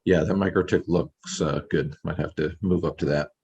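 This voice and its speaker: A man calling in to a video meeting with a question, hesitant with many pauses. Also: very deep voice, fairly even intonation, a little mellow, muffled recording, a little hiss or hum. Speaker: caller with a question. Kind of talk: casual talk on a video call.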